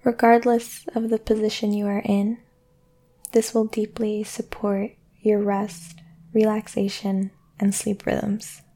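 A woman guiding a sleep meditation in a soothing, gentle way, speaking deliberately with long pauses. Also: medium pitch, completely flat delivery. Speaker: meditation guide and podcast host. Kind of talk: guided sleep meditation, yoga nidra.

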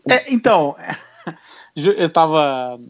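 Carioca man, animated storyteller, fairly high voice, dry and slightly dull recording. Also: slow, dry recording. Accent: Carioca Portuguese. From Rio de Janeiro, Brazil. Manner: lively, animated storytelling with laughs. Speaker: podcast co-host. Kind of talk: casual chat.

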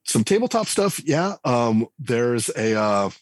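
A man, a game designer and writer, talking reflectively as a podcast guest, pausing often on fillers. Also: medium pitch, very animated, breathy edge, dry recording, studio quality. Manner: reflective, hesitant with frequent fillers. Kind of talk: casual interview talk.

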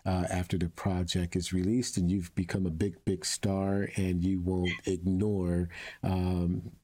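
Deep husky guy doing podcast interview host stuff, really effusive and encouraging. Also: flat delivery, a little slow, dark tone. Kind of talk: conversational interview hosting.

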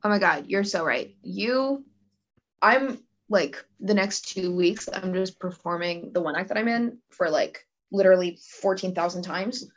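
A woman's voice: mid-range voice, lively intonation, a little slow. Teenage girl guest, slightly muffled sound. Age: teens. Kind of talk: casual chat.

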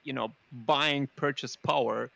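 Gravelly, bright-voiced man hosting a discussion. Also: medium pitch, fairly even intonation, measured pace, no echo. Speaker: discussion host. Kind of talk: casual panel discussion.

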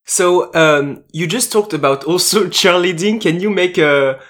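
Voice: mid-range voice, a little slow, a bit bright. An interviewer asking questions in a hesitant way, restarting phrases.

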